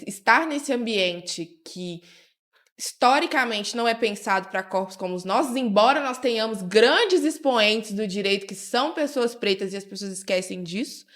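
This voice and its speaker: A woman's voice: medium pitch, a bit bright. A woman hosting her own podcast, chatting with a guest in a playful, teasing way. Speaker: podcast host. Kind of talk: casual interview podcast.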